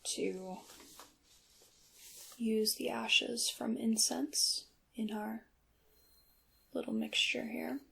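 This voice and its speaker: A woman performing a soft, breathy ASMR roleplay as a calm witch apothecary, often whispering and speaking gently and deliberately to the listener. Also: on the high side, low-quality recording.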